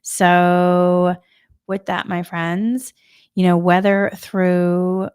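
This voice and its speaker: Gentle rambling woman, slight Boston accent. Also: lowish voice, flat delivery, very slow, clear, resonant tone, no room sound, studio quality. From Massachusetts. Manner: repetitive, gentle, rambling with many restarts. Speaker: spiritual energy forecaster. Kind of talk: live-streamed spiritual talk. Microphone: live stream microphone.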